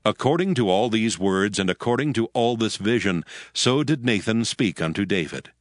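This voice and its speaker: A man with a fairly low voice narrates scripture in a steady, formal way. The sound is close and dry. Soft music plays behind him. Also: moderate pace, dry recording.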